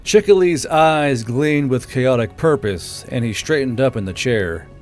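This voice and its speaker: A man's voice: medium pitch, animated, a little slow, a little mellow, faint music behind. A man reading scripted third-person narration for a fantasy audiobook.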